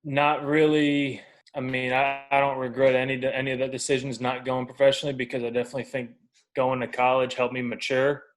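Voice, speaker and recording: Young man, low voice, fairly even delivery. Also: a little fast, rough recording. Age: 20s. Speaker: baseball pitcher, podcast guest. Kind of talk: casual interview chat.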